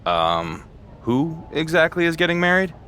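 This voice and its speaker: A man's voice: fairly high pitch, big pitch swings, unhurried, bright, forceful tone, a little hiss or hum, exaggerated voice. An actor voicing an earnest male wrestling champion in a video game cutscene, talking in a plain, wary way.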